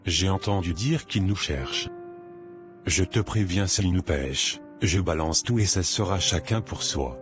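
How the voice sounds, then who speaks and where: An actor playing a nervous, ruthless young male gang member in an animated drama, talking insistently. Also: low-pitched, unhurried. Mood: anxious. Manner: insistent, complaining. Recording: music under the voice.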